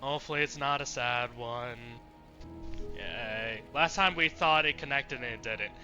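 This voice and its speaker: A man's voice: mid-range voice, unhurried, bright, forceful tone, muffled recording, soft background music. A man doing casual, sweary let's play commentary, reacting with exasperation as he streams. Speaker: video game streamer.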